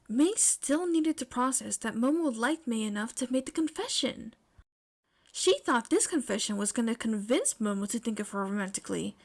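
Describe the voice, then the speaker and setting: A woman reading scripted fiction aloud as an expressive podfic narrator. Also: fairly high pitch.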